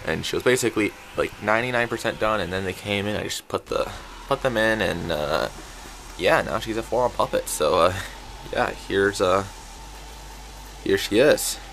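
A man giving a casual craft showcase in an informal, halting, rambling way. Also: lowish voice, gravelly, fairly bright tone, slight background noise, low-quality recording. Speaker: craft video creator showing a homemade puppet. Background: background music.

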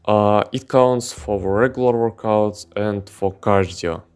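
Slow-talking man, halting English. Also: lowish voice, steady tone, darkish tone, smooth. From Ukraine. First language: Russian. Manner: halting non-native English with many fillers. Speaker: fitness channel host. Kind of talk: casual podcast monologue. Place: indoors, seated on pillows.

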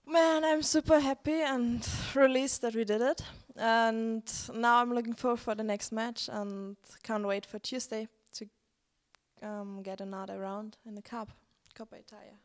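A young woman, a player speaking in a post-match interview, pausing to find words. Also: fairly high pitch, fairly even intonation. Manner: hesitant, searching for words. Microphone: handheld mic held by interviewer.

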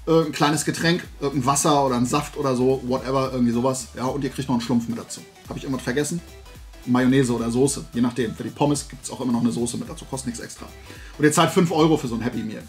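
A middle-aged man, chatting casually and enthusiastically to his viewers as a video host. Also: medium pitch. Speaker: YouTube host showing new toys. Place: indoor room with shelves of collectibles.

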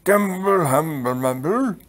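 A man's voice: on the high side, animated, slow, voice pushed up. A man voicing a doddering old king in a storybook, mumbling and puffing. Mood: muddled.

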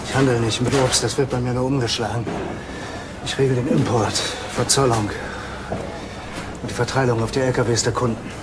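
A man acts out a brusque shipping boss in a radio crime play. His voice is medium in pitch and his manner gruff and impatient. Loud working noise surrounds him. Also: steady tone, a little fast, long pauses, close to an everyday voice. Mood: guarded. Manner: gruff, barking orders at workers. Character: brusque, impatient male freight company boss. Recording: loud background noise of work yard.

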